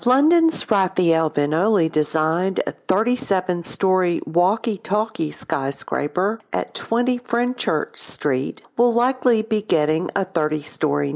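A woman's voice: on the low side, gravelly, darkish tone. A woman presenting scripted industry news on a podcast, reading as a narrator.

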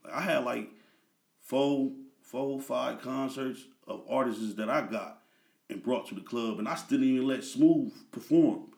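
A man's voice: mid-range voice, a little slow, creaky, a little mellow. A man in his thirties, a guest in a casual interview, talking in a laid-back, slang-heavy way with lots of fillers.

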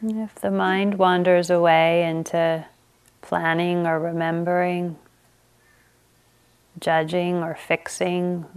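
A woman meditation teacher leading a guided meditation, speaking gently with long pauses. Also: on the low side, clean tone.

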